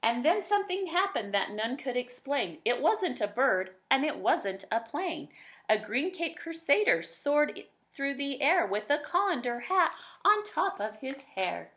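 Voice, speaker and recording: A woman's voice: high voice, big pitch swings, a little slow, fairly bright tone. A woman reading aloud expressively in the style of a children's storybook.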